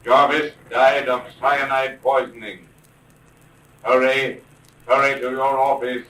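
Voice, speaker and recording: Ghostly father, 1930s radio serial: solemn, commanding, monotone, distant and echoing. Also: mid-range voice, takes it slowly. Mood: urgent, solemn. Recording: echoey, distant old radio recording.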